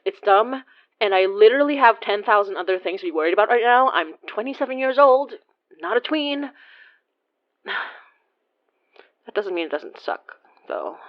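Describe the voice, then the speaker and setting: A woman in her twenties playing an awkward, self-deprecating young woman in a naturalistic audio drama, rambling haltingly with sighs. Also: mid-range voice, lo-fi sound, close to an everyday voice. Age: 20s. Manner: halting, sighing, rambling confessional. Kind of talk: naturalistic audio drama acting, phone monologue.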